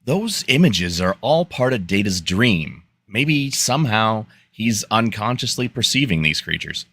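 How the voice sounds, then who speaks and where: Bright, animated starship engineer played by a man. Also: fairly low pitch, a little slow, no echo, full character voice. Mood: earnest, businesslike. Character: earnest, practical male starship chief engineer. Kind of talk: podcast live script reading.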